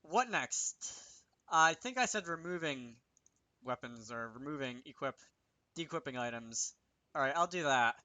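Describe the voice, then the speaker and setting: A man's voice: medium pitch, lively intonation, unhurried, sharp tone, no echo. A man giving a casual coding tutorial, talking to himself as he works, with wry little asides. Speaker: video creator demonstrating game programming.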